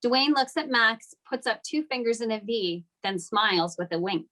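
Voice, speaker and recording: A woman's voice: fairly high pitch, fairly even intonation, normal speed, fairly bright tone. Woman reading screenplay stage directions. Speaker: stage-direction narrator at a table read. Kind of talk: scripted screenplay narration, read aloud.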